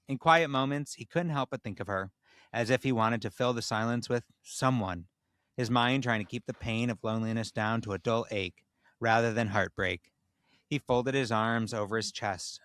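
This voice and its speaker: A man's voice: fairly low pitch, fairly bright tone, no echo, studio quality. A man hosting a comedy podcast, reading a story aloud in a mock-solemn tone and breaking into casual banter.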